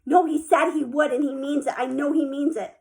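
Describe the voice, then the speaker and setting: A woman's voice: high-pitched, fairly even intonation, a little fast, creaky. Woman doing a hot-tempered young girl. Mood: worried. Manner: shouting, exasperated.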